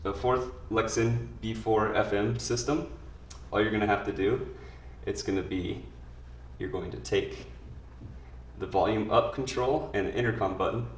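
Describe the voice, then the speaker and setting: Male tutorial presenter, low-pitched, plain informal delivery, echoey room sound with faint background noise. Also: steady tone, unhurried, recorded from a distance. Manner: plain, informal spoken explanation. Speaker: product demonstrator. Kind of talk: instructional product tutorial, step by step.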